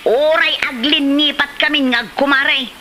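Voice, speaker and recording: A woman's voice: high voice, steady tone, a little slow, bright, forceful tone, slight background noise. A woman with an Ilocano accent playing a touchy, haggling village housewife who complains indignantly in a comedy drama.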